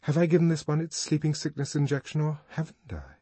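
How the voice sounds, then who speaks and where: A man's voice: on the high side, brisk, warm tone, breathy edge, no echo, voice pushed up. Man voicing a weary, stubborn, lovesick young woman. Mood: wistful, murmuring. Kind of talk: audiobook character acting.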